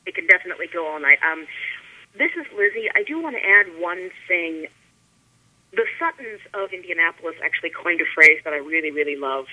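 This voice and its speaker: A woman's voice: lowish voice, lively intonation, brisk. A woman speaks through a narrow, tinny phone connection. She talks casually, as a panelist adding her view to a roundtable discussion. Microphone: telephone call.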